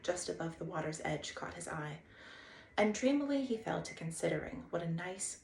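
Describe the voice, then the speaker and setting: Gravelly-edged woman reading a storybook. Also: fairly low pitch. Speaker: read-aloud host and narrator. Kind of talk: expressive children's storybook narration. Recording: roomy echo, faint background noise.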